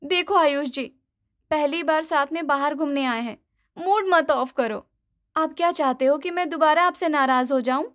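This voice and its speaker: A woman plays a young bride in a story cartoon, high and girlish in pitch. She is stubborn and playful, coaxing her way to what she wants. The recording is dry and close. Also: normal speed, fairly bright tone, no echo. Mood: sulky warning. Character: stubborn, playful young newlywed wife who loves corn. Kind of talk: animated Hindi moral-story dubbing.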